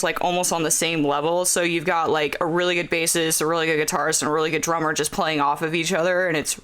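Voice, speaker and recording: A man's voice: a little high, sharp tone, clear, resonant tone. A man chatting as a podcast guest about music, rambling with many fillers and frequent laughs. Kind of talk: casual podcast chat.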